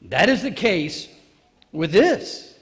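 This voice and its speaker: Casting: male actor as boastful, excitable ancient carpenter for stage monologue; very animated, emphatic, halting delivery, long hall reverb. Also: sits high, exaggerated voice. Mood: reflective, proud. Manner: emphatic, halting, repeats phrases, addresses listeners directly. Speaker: monologue performer. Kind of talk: theatrical church monologue.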